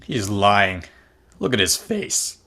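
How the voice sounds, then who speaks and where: A man's voice: lowish voice, big pitch swings, slow, bright, forceful tone, full character voice. A man playing a blunt, suspicious and hostile passenger, accusing others in an amateur table read. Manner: accusing, confrontational. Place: remote video call.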